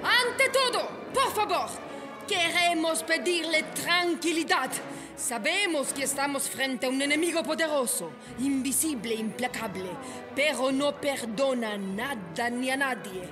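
A woman's voice: sits high, lively intonation, unhurried. A woman acting a flustered, pompous town authority with a Chilean accent in a radio play, formal and oratorical. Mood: pompous, solemn. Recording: music behind the voice.

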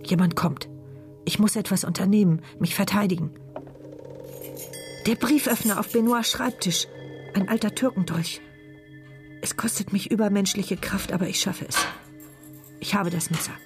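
A woman's voice: mid-range voice, moderate pace, long pauses, natural voice. Brief: actress as trapped, frightened woman, fragmented inner monologue, radio thriller, music underneath. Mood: dread, horror. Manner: tense, fragmented first-person present-tense narration. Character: wheelchair-bound woman narrator, trapped, terrified, silent inner voice. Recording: music bed behind voice.

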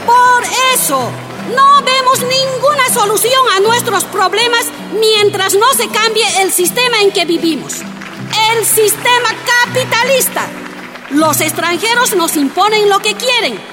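High-pitched, fiery miners' wife activist. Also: female voice, unhurried. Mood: indignant. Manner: impassioned, emphatic, declamatory. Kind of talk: radio drama acting, political oratory. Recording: music and crowd behind voice.

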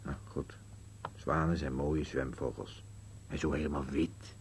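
An actor playing a grumpy, bickering old husband in a Dutch radio play, curt and dry, with a husky voice. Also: male voice, very deep voice, steady tone, unhurried, slight background noise. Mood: contrary, irritated. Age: older.

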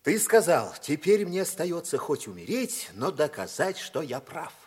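An ageing, paternal teacher in a vintage radio drama. The voice is husky and a little high, with big expressive swings, solicitous and exclamatory as he questions and urges. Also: measured pace, heavily acted. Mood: serious, insistent. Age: older. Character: warm, fatherly ageing male teacher, solicitous and insistent. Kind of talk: 1950s radio play acting.

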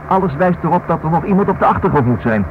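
A man's voice: fairly high pitch, animated, fast, close to an everyday voice. An actor playing an inquisitive, stubborn married man in a radio play, thinking aloud and repeating himself. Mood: excited reasoning. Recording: noisy, dull old radio recording.

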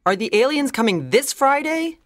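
A woman playing a lively, anxious schoolgirl in a dramatised audiobook, with expressive delivery. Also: high-pitched, moderate pace, dry recording, studio quality. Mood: alarmed.

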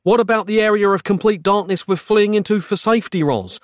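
Man playing a high-strung, exasperated hero. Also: sing-song, brisk, no room sound, studio quality. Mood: despairing. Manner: melodramatic, over-explaining, urgent. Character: flayed, anguished male hero, wordy and exasperated.

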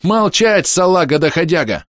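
Gruff ship's officer, bellowing and bullying crew, put on by a male narrator; raised, dry-voiced shouting. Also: on the high side, very animated, normal speed, dry recording, voice pushed up. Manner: barking, drill-sergeant shouting. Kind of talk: audiobook character voice.

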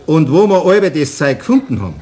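A man's voice: fairly high pitch, very animated, fast, mellow. An actor playing a hearty, gullible tradesman with a Bavarian accent, folksy and jovial, in a radio play. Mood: bewildered. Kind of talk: German radio play acting.

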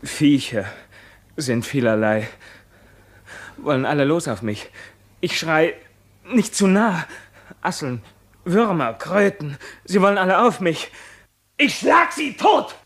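A wild captive youth with a husky, childlike voice. He speaks extremely slowly, in halting, broken fragments, fearful and visionary, in intense theatrical radio drama style. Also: fairly high pitch, very animated, a bit bright, exaggerated voice. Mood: terrified. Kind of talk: theatrical German radio play acting.

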